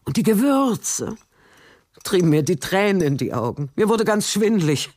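An actress playing a starved, subdued woman prisoner in a radio drama, speaking in a halting, deliberate way. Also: medium pitch, sing-song, a little mellow. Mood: overwhelmed. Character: starved, subdued female prisoner back from Siberian camp. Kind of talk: radio drama acting and first-person narration.

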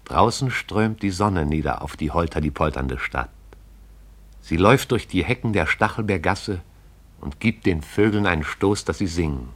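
A man's voice: low-pitched, lively intonation, normal speed, fairly bright tone. A man narrating a radio play in a poetic, rhythmic recitation.

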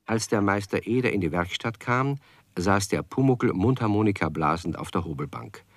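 A man narrating a children's radio play as a calm storyteller with wry humour. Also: lowish voice, moderate pace, no room sound. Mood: wry, measured.

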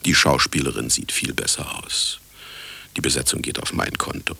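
A man's voice: very deep voice, moderate pace, gravelly, pushed and bright, husky, heavily acted. An actor playing a cynical, vain older retired scientist who narrates his own story in a satirical radio play, sardonic and self-important. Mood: smug, wry. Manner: sardonic, self-important, talking to listener and sound engineer. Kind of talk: satirical radio drama monologue.

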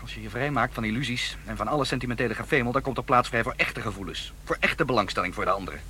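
A man's voice: mid-range voice, racing, bright, forceful tone, slight background noise, natural voice. A man playing a cynical, militant radical in his twenties in a radio drama, hard-nosed and sardonic. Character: cynical, militant young radical son, aged twenty-four.

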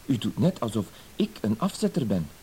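An actor playing a timid, dutiful husband with wounded dignity, hesitant, wordy and apologetic, in a radio play. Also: male voice, fairly low pitch, big pitch swings, moderate pace, dark tone. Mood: flustered, indignant. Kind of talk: Dutch-language radio play acting. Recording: hissy old radio play recording.

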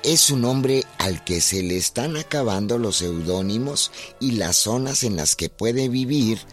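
A man's voice: mid-range voice, animated, a little slow, natural voice. A man narrating a biographical radio drama as a grave storyteller, reading from a script. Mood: measured, serious. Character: grave storyteller chronicling a revolutionary's life. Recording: music behind the voice.

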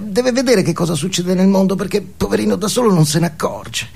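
An actor playing a deranged, filthy vagrant in a theatrical comic monologue, leering, rambling and taunting with gleeful menace. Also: male voice, high voice, lively intonation, fairly quick, gravelly, darkish tone, breathy edge, heavily acted. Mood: mocking storytelling. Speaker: stage actor.

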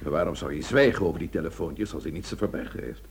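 An actor in a Dutch radio drama playing a sceptical, wry police inspector who hums and probes with questions. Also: male voice, low voice, animated, fast, a little hiss or hum. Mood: probing. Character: gruff, sceptical police inspector, career-minded former suitor.

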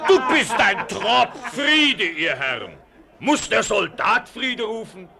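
High, boisterous, cocky soldier. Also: male voice, big pitch swings, slow, creaky, over a noisy crowd, heavily acted. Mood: jovial, mocking. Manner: loud, declamatory. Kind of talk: 1940s German radio play acting.

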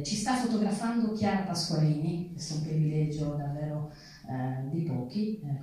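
A woman's low, mellow voice reaches us from far off in a very echoey theatre. She hosts from the stage in formal, measured phrases, pausing hesitantly as she introduces and thanks. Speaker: event host introducing speakers. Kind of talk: live thank-you speech from a stage. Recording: very echoey theatre, distant mic.

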